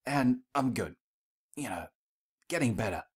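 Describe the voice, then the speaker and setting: Guy doing a brash tomboy pegasus girl for a story, guarded, slangy, short clipped lines, bit higher pitched. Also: animated, unhurried, slightly rough. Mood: evasive.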